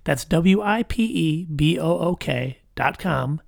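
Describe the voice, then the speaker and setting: A man's voice: on the high side, animated, slow pace, vocal fry, reverberant. A man co-hosting an educational podcast, chatting casually with fillers and also reading the sponsor message. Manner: upbeat, rambling with fillers. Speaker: podcast co-host.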